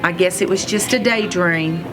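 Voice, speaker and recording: A woman reading a children's story aloud in an expressive, playful manner. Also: on the low side, moderate pace, music in the background, rough recording. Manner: expressive, playful, acts out sounds and exclamations. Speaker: read-aloud reader, school superintendent.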